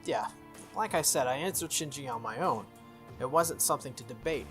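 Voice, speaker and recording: A man's voice: mid-range voice, expressive, fairly quick, fairly bright tone, close to an everyday voice. A man reading the lines of an earnest, good-natured teenage schoolboy from a visual novel. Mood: earnest, defensive. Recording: music behind the voice.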